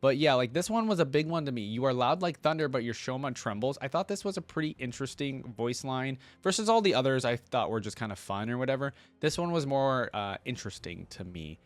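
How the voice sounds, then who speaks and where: Lively man reacting casually. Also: mid-range voice, fairly quick, few pauses. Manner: informal, chatty, easily amused. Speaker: reaction video host.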